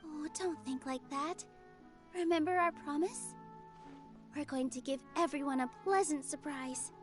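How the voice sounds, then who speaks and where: An actress playing a gentle young dancer who speaks softly and reassuringly, over game music. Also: female voice, high-pitched, unhurried. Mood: encouraging. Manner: soft and reassuring. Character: gentle young female dancer, warm, encouraging.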